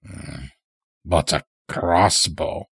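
A man's voice: sits low, extremely slow, vocal fry, a bit bright, husky, dry recording, studio quality, voice pushed down, heavily acted. A man voicing a folksy, taunting old elder in an audio story, chatty and needling with rustic phrasing. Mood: musing, needling. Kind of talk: dramatic audio story character.

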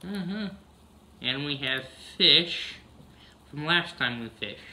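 A man's voice: fairly high pitch, lively intonation, takes it slowly, sharp tone. A man casually narrating a farming video game, humming "mm-hmm" between steps, recorded on a camera across a room. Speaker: gameplay narrator.

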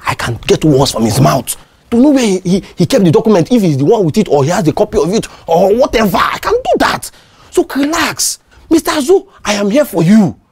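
A performer playing a scheming, two-faced caretaker with a Nigerian accent, who stammers and coaxes to calm people down. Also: medium pitch, brisk, husky. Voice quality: wheedling, stammering, pleading.

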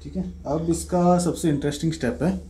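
A man demonstrating a home recipe step by step, relaxed and explaining each move plainly. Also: a little high, brisk. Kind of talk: casual step-by-step cooking demo. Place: home kitchen, indoors. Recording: echoey kitchen with faint noise.

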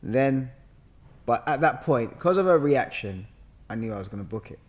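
A London man in his thirties talks casually into a podcast mic, with a mid-pitched voice. He tells stories in an animated, bantering manner, dropping in slang as he goes. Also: normal speed. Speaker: podcast guest, actor. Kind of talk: casual conversational storytelling. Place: bright living room, on a sofa. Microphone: podcast mic on a boom arm.

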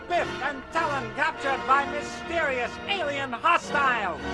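Woman as a radio serial announcer, very high voice, melodramatic, drawn-out words, music behind. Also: poor audio. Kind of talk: old-time radio announcing.

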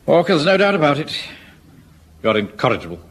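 An actor playing a pompous, blustering middle-aged English Home Guard captain in a radio sitcom, with clipped speech. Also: male voice, on the high side, very animated, brisk. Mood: exasperated. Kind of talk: 1970s British radio sitcom acting. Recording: old radio comedy with background hiss.

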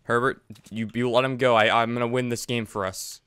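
A young man streaming a game from his room, chatting excitedly and repeating himself. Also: mid-range voice, a little fast, clean tone. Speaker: gaming streamer. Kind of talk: casual gameplay chat. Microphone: desk mic, wearing headset.

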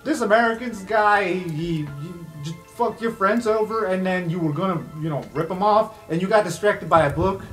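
A man's voice: high voice, normal speed, few pauses, pitched above the performer's own voice, lightly acted. A man voicing a streetwise, chatty crook in a tabletop game, with a blunt, informal, rambling way of talking. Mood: incredulous teasing. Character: streetwise, chatty male crook, loyal to his friends. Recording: music bed under the voice.

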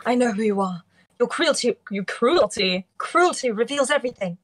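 Woman playing a defiant, lively high-voiced noblewoman. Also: normal speed, breathy edge. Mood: accusing. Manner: dramatic, accusing. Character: defiant, grieving young noblewoman in love. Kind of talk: live table read of a film script. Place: indoors, on a group video call.

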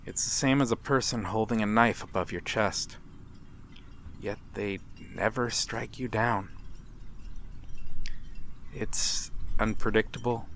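A man playing a courteous, melancholy vampire in a dramatic ASMR roleplay, speaking formally and with measured pauses. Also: fairly low pitch, fairly even intonation, fairly bright tone. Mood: gentle, reassuring. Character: courteous, melancholy male vampire, lonely and mysterious. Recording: clear background ambient noise. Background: night harbor ambience.

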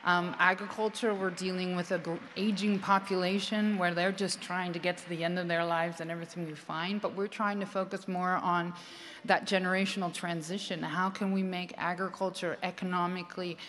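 A woman's voice: fairly low pitch, flat delivery, a little fast, fairly bright tone, slight background noise. A woman in her forties with an American accent, speaking earnestly on a conference panel into a handheld mic, with many fillers and restarts. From United States. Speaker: panel speaker, farmer trainer. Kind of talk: conversational panel talk. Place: large conference stage with audience. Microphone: handheld microphone. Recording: long hall reverb.

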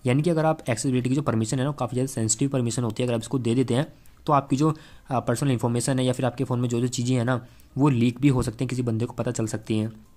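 A man's voice: medium pitch, fairly even intonation, rapid-fire, a little mellow. A man, explaining tech in a conversational, step-by-step way as a channel host. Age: 20s. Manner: conversational, explains step by step with frequent tag phrases. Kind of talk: explanatory tech vlog to camera and screen tutorial. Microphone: clip-on lapel mic.

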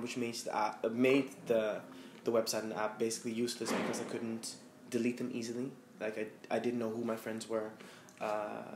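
A man in his thirties from the Netherlands, speaking as a guest before a small audience in a candid, reflective way with many fillers. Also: medium pitch. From Amsterdam, Netherlands. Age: 30s. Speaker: guest, fashion stylist. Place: room with a live audience. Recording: roomy echo, faint noise.

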